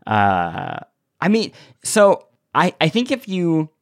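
A man co-hosting a podcast in casual discussion, thinking aloud with animated enthusiasm. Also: a little high, slow, vocal fry. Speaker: podcast co-host.

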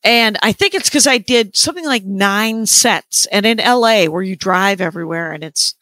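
Hoarse, dry-voiced woman comic. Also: mid-range voice, animated, normal speed, fairly bright tone, no echo. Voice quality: scratchy voice. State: hoarse, tired. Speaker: comedian podcast co-host. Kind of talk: casual chat.

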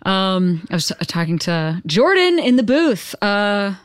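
A woman's voice: mid-range voice, very animated, clean tone, no echo. Woman hosting, close dry sound. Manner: informal, halting, thinking aloud. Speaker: podcast host. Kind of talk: casual conversational interview.

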